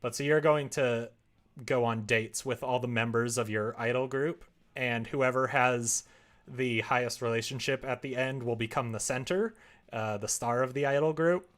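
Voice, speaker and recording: A man's voice: medium pitch, normal speed, fairly bright tone. A man chatting casually on a livestream while he works, rambling and thinking aloud in a self-deprecating way. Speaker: livestreamer making a visual novel.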